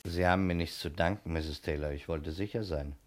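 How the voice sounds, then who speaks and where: A courteous investigator played by a man in a 1960s radio crime play. His voice is low, and he speaks in a measured, factual way, keeping his manners formal. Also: steady tone, close to an everyday voice. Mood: calm, explaining. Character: courteous, matter-of-fact male investigator. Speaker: radio drama actor. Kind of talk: 1960s German radio crime drama acting.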